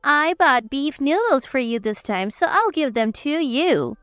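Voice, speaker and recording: A woman's voice: sits high, animated, unhurried, a bit bright, dry recording. A woman voicing a domineering older mother-in-law who lectures and scorns in a bossy way, in a dramatized story. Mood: scornful.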